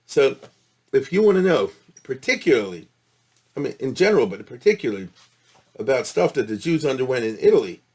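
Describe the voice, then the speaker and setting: A man's voice: medium pitch, big pitch swings, a little fast, long pauses, a little mellow, husky. A male rabbi lecturing informally on religious history, with conversational asides, checking in with listeners and using Hebrew and Yiddish terms.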